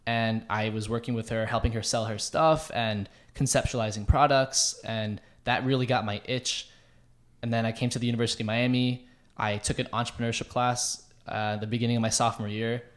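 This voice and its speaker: A man's voice: mid-range voice, fairly even intonation, brisk. A young man, a student club president, chatting on a podcast in an upbeat, promotional way. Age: young adult. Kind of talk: casual podcast chat.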